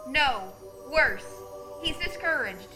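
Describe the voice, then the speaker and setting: An actress playing a calm, authoritative female superintendent of angels in an old-time radio play. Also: high voice, music in the background. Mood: brisk. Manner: brisk, authoritative, kindly.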